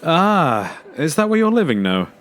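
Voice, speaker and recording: A man's voice: on the high side, big pitch swings, a little slow, a bit bright. An actor playing a courteous, inquisitive family man, speaking politely and tentatively in an audio drama. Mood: polite, nervous. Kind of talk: naturalistic audio drama dialogue.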